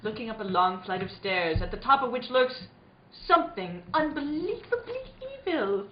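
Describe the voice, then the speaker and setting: A woman's voice: on the high side, very animated, moderate pace, a little hiss or hum. A woman hosting with a jokey, theatrical manner, mixing playful introductions and mock radio narration. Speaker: host and announcer. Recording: roomy, muffled, people in background.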